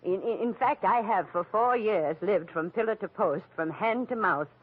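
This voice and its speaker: A woman's voice: medium pitch, normal speed, husky, close to an everyday voice. A woman playing a flustered, scheming middle-aged society matron, stammering theatrically in 1940s radio style. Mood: confessing. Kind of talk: 1940s radio drama acting. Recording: muffled tinny old radio broadcast.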